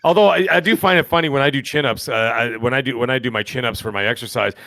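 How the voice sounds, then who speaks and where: A man in his fifties talks quickly through a casual podcast chat, rambling from one joking story to the next. His voice sits at an ordinary pitch, and the sound is dry, close and free of room echo. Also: a bit bright. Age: 50s. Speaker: podcast co-host.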